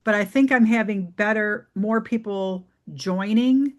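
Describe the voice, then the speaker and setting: Brief: woman, team member sharing her experience on a call, relaxed and direct. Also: mid-range voice, a little slow. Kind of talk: casual chat on a video call.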